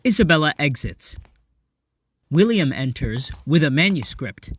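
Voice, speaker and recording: A man's voice: a little high, sing-song, measured pace, fairly bright tone, slightly rough, no room sound. A man reading stage directions as the narrator of an audio play, in scripted narration.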